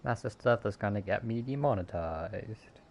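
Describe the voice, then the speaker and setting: A man's voice: on the low side, animated, normal speed. A man doing casual game playthrough commentary and reading dialogue aloud in an offhand, joking way. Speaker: gamer, streamer. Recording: game music behind.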